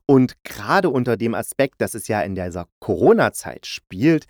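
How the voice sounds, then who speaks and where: A man with a medium-pitched voice hosts a podcast in a loose, conversational style. He is playful and jokey, correcting himself as he goes. The sound is close and dry. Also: big pitch swings, moderate pace, darkish tone, no room sound. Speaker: podcast host and audio drama reviewer. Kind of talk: casual, chatty solo review.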